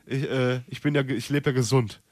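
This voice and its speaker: A man's voice: mid-range voice, fairly even intonation, a little fast, no echo, clean studio sound. A young man hosting a casual radio show, joking loosely and letting his sentences trail off.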